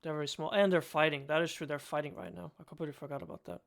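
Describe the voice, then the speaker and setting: A man's voice: on the high side, fairly even intonation, very fast, gravelly. A man with a Serbian accent co-hosting a casual podcast chat, blunt and joking, who swears casually. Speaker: podcast co-host.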